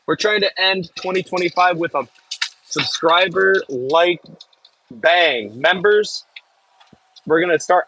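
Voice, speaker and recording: A man's voice: a little high, fairly bright tone, slight background noise, poor audio. A man with a Michigan accent, hosting a casual livestream and rambling as he reads and answers viewers' chat messages. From Michigan, US Midwest. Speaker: livestream host. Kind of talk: casual live-stream chat.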